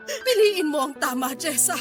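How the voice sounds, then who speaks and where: A woman's voice: sits high, very animated, moderate pace, music in the background. An actress playing a stern, desperate older mother-in-law in a radio drama, scolding and pleading tearfully. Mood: anguished scolding. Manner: scolding, imploring, tearful emphatic delivery. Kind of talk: Tagalog radio drama acting.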